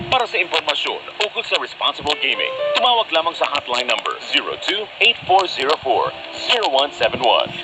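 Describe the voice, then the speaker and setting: A man's voice: on the high side, rough recording. A man with a Filipino accent reading a scripted public service advisory on radio. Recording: AM radio with loud music bed.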